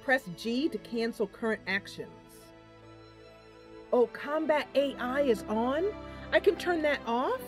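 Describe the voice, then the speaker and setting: A woman's voice: high-pitched, expressive, slow pace. Female game streamer, chatty and casual, thinks aloud to viewers; music underneath. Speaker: gaming channel host. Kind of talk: casual gameplay commentary.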